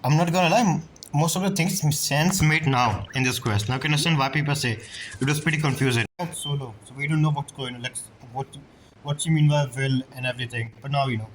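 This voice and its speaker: A woman's voice: rumbling low, quick pace, slight background noise, poor audio. A woman giving casual, informal, reactive commentary as she streams a video game, with game music behind.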